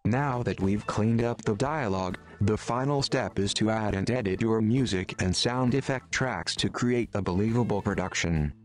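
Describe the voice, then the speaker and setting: A man reading a scripted tutorial voice-over in a measured, instructional manner. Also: lowish voice, slight background noise. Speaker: tutorial narrator.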